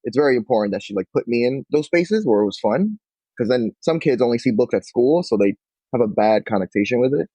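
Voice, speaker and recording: A young man, chatting casually as an interview guest, relaxed and full of "like". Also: medium pitch, fairly quick, no room sound. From Queens, New York. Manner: relaxed, peppered with "like", acts out quotes. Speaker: bookshop owner, guest. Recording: dull lo-fi dry sound.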